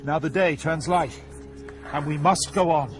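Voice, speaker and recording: A stern, wise guide through the underworld, acted by a man, with a slightly high voice and slow, grave authority. Loud music plays behind him in this audio drama. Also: natural voice. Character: stern, wise poet guide through Hell, commanding protector.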